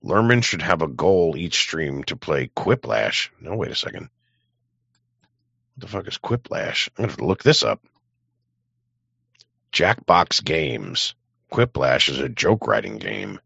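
A man with an extremely low, gravelly voice chats casually to stream viewers. He rambles bluntly and swears, swinging up and down in pitch. The sound is dry and a little dull. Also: sing-song, unhurried, long pauses, a bit bright, dry recording. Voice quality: gravelly, husky. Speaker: live streamer. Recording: dull, close, dry microphone.

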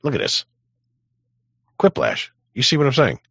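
A man live-streaming in casual chat, rambling bluntly and swearing, with a gravelly, husky voice. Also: medium pitch, huge pitch swings, normal speed, a bit bright, dry recording. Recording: dull, close, dry microphone.

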